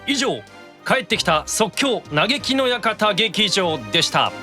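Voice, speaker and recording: A man's voice: high-pitched, lively intonation, unhurried, music in the background. A man chatting casually as a guest on a radio show, easygoing and self-deprecating. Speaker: guest voice actor.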